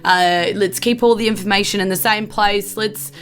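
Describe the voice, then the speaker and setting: A woman's voice: medium pitch, steady tone, normal speed, fairly bright tone, soft background music, lightly acted. A woman with a New Zealand accent voicing an evasive, flustered town speaker who stalls with constant ums and uhs. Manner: hesitant, full of "uh" and "um", stalling.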